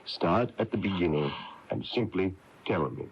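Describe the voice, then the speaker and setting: An actor playing a steady, probing small-town doctor in a 1980s radio drama, patient but firm, with terse, measured questions. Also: male voice, sits low, slightly rough, exaggerated voice. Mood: calm, probing. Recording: dull lo-fi AM radio tape.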